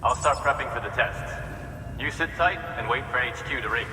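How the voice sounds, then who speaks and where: Casting: male actor for businesslike, relaxed sci-fi game depot worker, mid-range voice, heard from afar in an echoing space. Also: mid-range voice, brisk, natural voice. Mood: businesslike, easygoing. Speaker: distribution center staffer. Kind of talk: video game cutscene acting. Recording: distant, echoey hall with noise.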